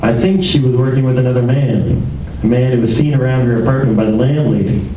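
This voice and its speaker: A man's voice: mid-range voice, a little fast, dark tone, natural voice. Echoey, muffled man playing a breezy private eye. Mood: musing, confident. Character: breezy, flirtatious male private detective, sharp and unhurried. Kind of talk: 1940s radio detective drama acting. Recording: very echoey room, distant mic, faint noise.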